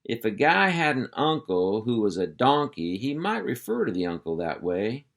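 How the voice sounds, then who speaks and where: A man's voice: mid-range voice, big pitch swings, normal speed, gravelly, a bit bright, voice pushed up. A man playing a boastful cow dog. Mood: lecturing. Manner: folksy drawl, hearty and lecturing. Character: boastful male ranch cow dog, folksy.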